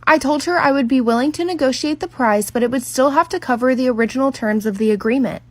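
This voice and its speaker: A woman with a high voice narrates a scripted personal story. She speaks in orderly, articulate full sentences. The sound is dry and close, with no room echo. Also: quick pace. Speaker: makeup artist storyteller.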